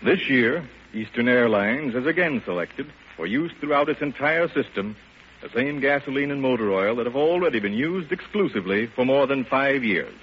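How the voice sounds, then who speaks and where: Male 1940s endorsement reader, mid-pitched, animated, dull vintage sound with faint hiss. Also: normal speed. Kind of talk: 1940s radio scripted testimonial. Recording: muffled, hissy vintage radio recording.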